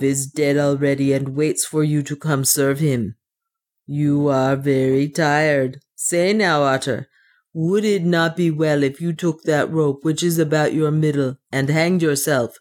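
A woman's voice: low-pitched, fairly even intonation, takes it slowly, a little mellow. A woman voicing a superstitious, devoted male dwarf servant in a storybook, with archaic, deferential, self-pitying speech. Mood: gloomy, fearful.

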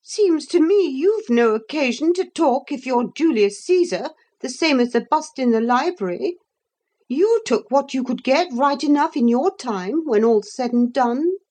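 A woman's voice: sits high, expressive, measured pace, dark tone, voice pushed up. A woman voicing a bitter, defiant former servant woman who speaks her mind scornfully. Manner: scornful, fierce, tearful outbursts.

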